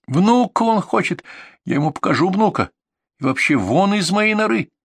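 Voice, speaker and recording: Man acting a feisty, high-voiced young woman. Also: expressive, normal speed, slightly rough, no echo, clean studio sound, heavily acted. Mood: indignant. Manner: indignant, exclamatory. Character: feisty, quick-tempered young woman, stubborn and scornful. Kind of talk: dramatised audiobook dialogue.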